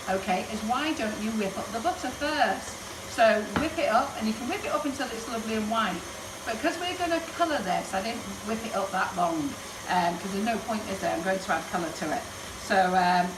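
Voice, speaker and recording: A woman's voice: on the high side, far from the mic. Chatty woman in an echoey kitchen. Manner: chatty, rambling, jokey, laughs often. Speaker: baking demonstrator, host. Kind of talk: chatty live baking demonstration. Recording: echoey kitchen, voice from off the mic, background noise.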